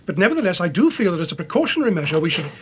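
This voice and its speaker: High, husky English establishment gentleman. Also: sing-song, very fast, heavily acted. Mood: earnest. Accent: English, upper-class. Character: hearty, authoritative older titled official. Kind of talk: British radio drama acting.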